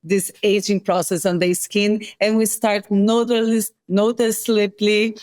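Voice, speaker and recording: A woman with a Brazilian accent explains things in a measured, explanatory way, pausing to correct herself. Her voice sits in a middle pitch, close and dry on the mic, with no room sound. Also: level tone, a little mellow, no echo. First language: Portuguese. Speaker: guest scientist. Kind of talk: interview explanation.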